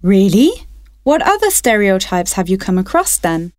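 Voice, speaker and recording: Lively-voiced woman hosting a phone-in. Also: medium pitch, normal speed, no echo, clean studio sound. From Wales. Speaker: radio phone-in host. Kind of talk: scripted radio phone-in presenting.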